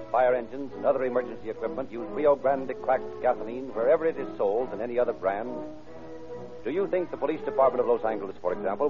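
Tinny vintage male radio announcer. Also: mid-range voice. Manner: emphatic, polished, declamatory. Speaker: announcer and narrator. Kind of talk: 1930s radio announcing, scripted sales and narration. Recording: tinny old radio recording.

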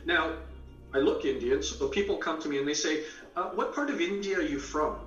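A man's voice: on the high side, a little fast, fairly bright tone, roomy sound, recorded from a distance, highs cut off, soft background music. A man born in England and raised in Canada, an author talking as a guest, explaining ideas through long metaphors and acting out little quoted conversations. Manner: explains with extended metaphors, acts out quoted dialogue. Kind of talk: storytelling interview answers.

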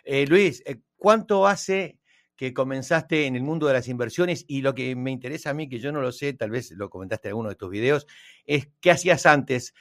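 Male host, Argentine accent, medium pitch, dry recording, conversational, rephrases as he goes. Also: normal speed, no echo. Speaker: show host, interviewer. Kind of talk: conversational interview.

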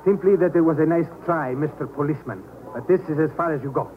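An actor playing a coldly polite villain in a radio thriller, formal, precise and smug, on an old recording. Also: male voice, on the high side, fast, slight background noise, lightly acted. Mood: cold command. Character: coldly polite, pale-eyed foreign bomber villain. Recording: tinny, phone-like vintage radio recording.

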